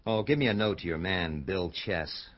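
An actor playing a hard-boiled, wisecracking American detective, laconic and dry, in dialogue and noir narration for a radio drama. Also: male voice, deep voice, expressive, moderate pace, lo-fi sound. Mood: businesslike.

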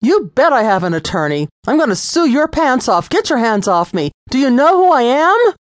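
A reader voicing a furious, spoiled rich young woman arrested for speeding, shouting indignantly in a storybook reading. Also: medium pitch, big pitch swings, a little fast, no echo, clean studio sound, voice pushed up, lightly acted.

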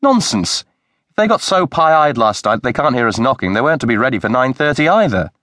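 An indignant, sharp-tongued young woman, as a male narrator puts her on, lifted well above his usual register. She is blunt and scornful and quick to protest, and she is heard in a dry, close audiobook recording. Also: medium pitch, big pitch swings, no echo, voice pushed up.

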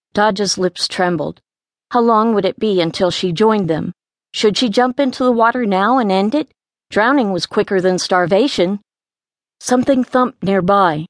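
A woman's voice: on the low side, dry recording, studio quality. A woman reading a story aloud as an audiobook narrator, in scripted narration.

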